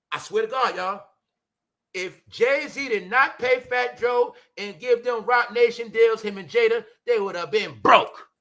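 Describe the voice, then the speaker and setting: A man's voice: extremely high pitch, a bit bright. A man from Brooklyn, ranting profanely on a livestream and calling out to his audience. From Brooklyn, New York. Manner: heated and profane, keeps addressing the audience with "y'all" and "bro". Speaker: livestream host.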